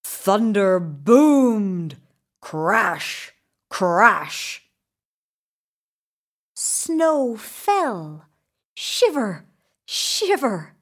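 A woman narrating a children's story in an expressive, deliberate way with dramatic emphasis. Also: mid-range voice.

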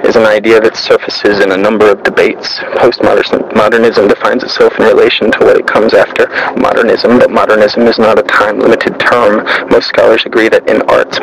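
A man with a lowish, crackly voice recites a scripted piece as its narrator. His delivery is measured and stays very flat, with little rise or fall. There is noticeable noise behind him on the recording. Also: creaky. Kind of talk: scripted literary monologue, read aloud.

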